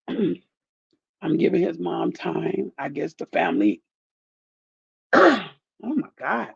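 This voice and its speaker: A grieving man with a groggy, deep voice, talking in a rambling, heartfelt way as he thanks his viewers in a casual chat. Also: huge pitch swings, takes it slowly, gravelly, slightly rough, no echo, highs cut off. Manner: soft-spoken, rambling, heartfelt. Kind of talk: casual direct-to-camera chat.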